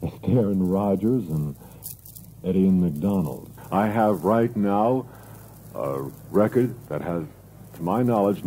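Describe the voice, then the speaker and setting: A man hosting a radio music programme, presenting old records in an earnest, measured way. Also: low-pitched, mellow, rough recording. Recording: vintage radio aircheck with faint noise.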